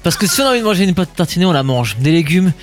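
A man's voice: high-pitched, sing-song, racing. A man co-hosting a morning radio show, playful and laughing a lot as he reads out facts. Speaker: radio co-host. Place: radio studio.

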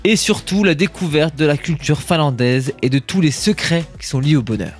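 A man's voice: fairly high pitch, normal speed, soft background music. A man co-hosting morning radio, presenting a news item in a laid-back, chatty way. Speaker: radio co-host.

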